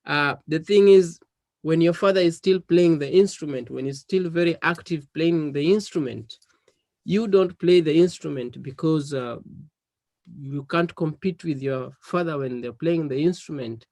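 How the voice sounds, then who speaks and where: A man with a Kenyan accent whose first language is Dholuo, speaking in a slightly high voice. He answers thoughtfully and often hesitates with ums and restarts. The sound is dry and close. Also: moderate pace, darkish tone, dry recording. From western Kenya. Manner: hesitant, with frequent ums and repeated words. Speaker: musician interviewee.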